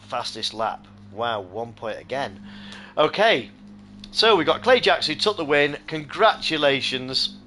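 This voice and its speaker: A middle-aged man hosting and commentating a sim racing stream, hoarse and close to losing his voice, switching between excited play-by-play and rambling chat with viewers. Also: mid-range voice, slight background noise. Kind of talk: live-stream race commentary.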